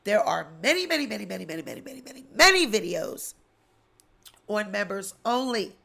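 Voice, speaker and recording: A woman's voice: medium pitch, brisk, gravelly. Sassy, nasal middle-aged woman gossiping. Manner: sassy, animated, swearing and laughing. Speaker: celebrity gossip host. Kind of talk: live-stream gossip commentary. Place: small studio with blue backdrop. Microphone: large studio mic on boom arm.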